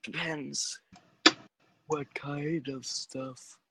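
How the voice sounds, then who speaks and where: A man's voice: a little high, fairly even intonation, extremely slow, gravelly, heavy background noise. A young man in casual chat as a co-host, giving short, teasing replies.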